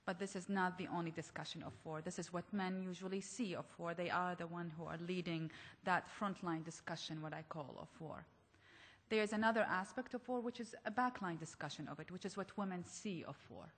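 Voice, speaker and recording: A woman's voice: lowish voice, brisk, fairly bright tone. Earnest woman in a reverberant hall. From Iraq. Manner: earnest, personal, rhetorical questions. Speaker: panelist. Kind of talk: conference panel speech. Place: large conference hall. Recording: echoey conference hall.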